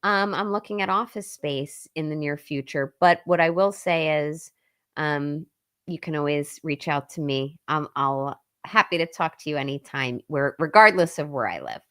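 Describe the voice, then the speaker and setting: A woman attorney guesting on a show over a video call, joking and bantering quickly in casual chat. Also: sits low, lively intonation, fairly bright tone, clean tone.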